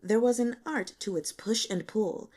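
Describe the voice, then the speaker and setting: A woman reading a novel aloud as scripted audiobook narration, with expressive, dramatic storytelling. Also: fairly high pitch, measured pace. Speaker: audiobook reader.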